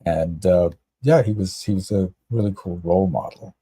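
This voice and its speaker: A man's voice: sits low, moderate pace, dark tone, breathy edge. A man from Canada telling personal stories casually as a podcast guest. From Canada, Toronto area. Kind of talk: casual chat, personal storytelling.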